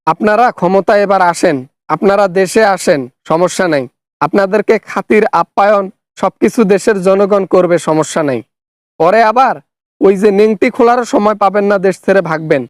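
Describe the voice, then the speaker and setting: A man's voice: high-pitched, normal speed, clean tone, dry recording, studio quality. A man with a Bangladeshi accent hosting a satirical cartoon skit, speaking in a scolding, sarcastic way. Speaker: parody channel host, accuser in skit.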